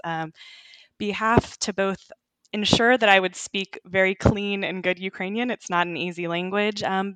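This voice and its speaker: A woman in her thirties speaks as a podcast guest, earnest and reflective. Her voice is smooth and resonant. It sits close and dry on the mic, with no room sound. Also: lowish voice, a bit bright, no echo. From United States. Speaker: journalist guest. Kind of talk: casual podcast conversation.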